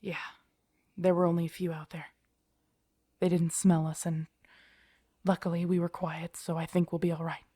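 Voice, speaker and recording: A woman playing a protective, guarded young survivor of a zombie apocalypse in an intimate audio roleplay, with hushed, urgent, halting delivery. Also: lowish voice, level tone, dry recording, studio quality, close to an everyday voice. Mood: quiet, weary.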